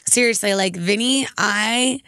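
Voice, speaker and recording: A woman hosting a podcast in casual chat with a raspy voice, enthusiastic and reading listener emails. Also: mid-range voice, a little slow, bright, forceful tone, no room sound, clean studio sound. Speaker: podcast host.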